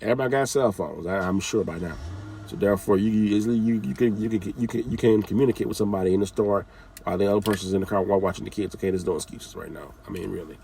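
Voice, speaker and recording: Gravelly man lecturing from a distance. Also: lowish voice, fast, dark tone, slight background noise. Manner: direct, scolding, repeats phrases, casual slang. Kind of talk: informal motivational talk.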